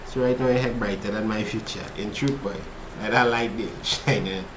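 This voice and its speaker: A man's voice: on the low side, moderate pace, background noise. Trinidadian man tutoring maths in an echoey room. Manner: relaxed, chatty, reads chat aloud while working problems. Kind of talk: casual livestream study session.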